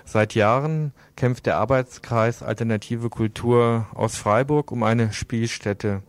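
A man presents scripted radio news at an average male pitch. The sound is dry and close, as in a broadcast studio. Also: dry recording.

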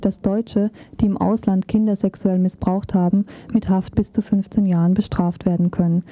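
Woman presenter reading a radio report, muffled tinny phone-like sound, no room echo. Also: mid-range voice. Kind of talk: scripted radio feature narration.

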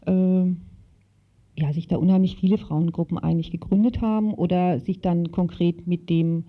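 A woman's voice: on the low side, fairly even intonation, moderate pace. A woman speaking as an activist guest in a radio interview, explaining spontaneously and searching for words. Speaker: interview guest, activist in a women's working group. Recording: dull, lo-fi radio recording.

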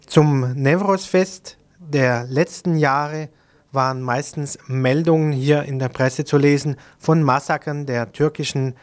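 A man's voice: on the high side, darkish tone. A man reading scripted news as a radio presenter.

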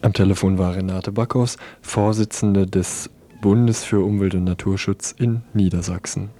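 A male radio presenter with a fairly low voice and a dark, relaxed tone. He is recorded close and dry, delivering news magazine links and interview questions. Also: moderate pace, dry recording.

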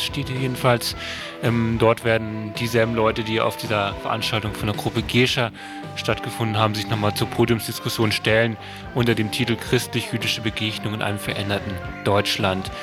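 A man's voice: lowish voice, level tone, normal speed. A man giving out local event details as a radio presenter. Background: plucked guitar music under the voice.

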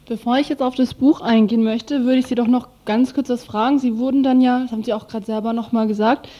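Radio interviewer: woman, somewhat high pitch, dark and relaxed timbre, asking questions. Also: fast, dull audio.

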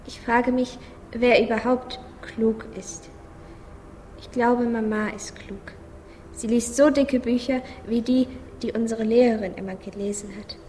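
The inner voice of a gentle, dreamy boy played by a woman speaks in simple childlike phrases. It is fairly high and very even, carried in long reverb as a radio play monologue. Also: lightly acted. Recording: long reverb, faint background noise.

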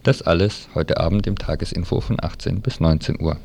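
A man's voice: sits low, steady tone, fairly quick, gravelly. A man reading out a scripted programme preview as a radio host.

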